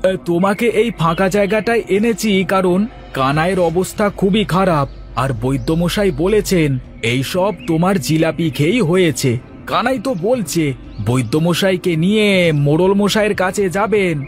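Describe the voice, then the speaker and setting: A man's voice: high-pitched, expressive, normal speed, faint music behind. A man voicing a cunning, pushy village trickster in a cartoon moral story. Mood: threatening.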